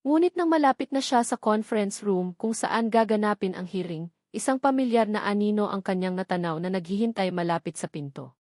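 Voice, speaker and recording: A woman's voice: mid-range voice, fairly quick, darkish tone, dry recording, clean studio sound. Filipino woman narrating a dramatic romance story.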